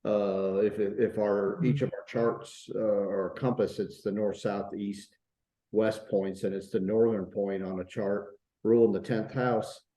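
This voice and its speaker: Easygoing man co-host, low and warm, a bit hesitant, echoey room. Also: steady tone, unhurried. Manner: easygoing, supportive, hesitant with uhs. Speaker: astrologer co-host. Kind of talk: casual astrology chat.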